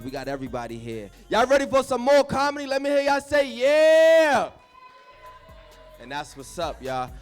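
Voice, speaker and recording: Hype man working a live crowd. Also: a little high. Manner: loud, slangy crowd hype. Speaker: show host. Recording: music playing behind.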